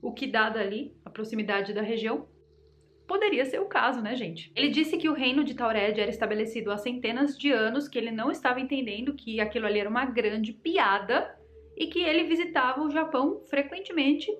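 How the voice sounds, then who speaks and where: A woman's voice: on the high side, fairly quick. A young Brazilian woman hosting a channel, chattily and dramatically telling mystery stories straight to her viewers. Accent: Brazilian Portuguese. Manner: chatty, dramatic, addresses the audience directly. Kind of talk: casual storytelling to viewers.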